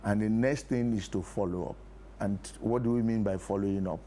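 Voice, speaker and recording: A man's voice: lowish voice, fairly even intonation, a little fast, warm tone. A middle-aged or older Nigerian man, a play producer, explains his points in a TV interview, repeating key phrases for emphasis. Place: TV studio talk show. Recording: clear background noise.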